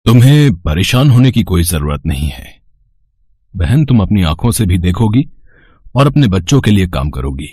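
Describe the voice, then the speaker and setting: An actor playing a wealthy, generous gentleman in a moral story cartoon, measured, dignified and reassuring. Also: sits low, very animated, no echo, clean studio sound. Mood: kindly, reassuring.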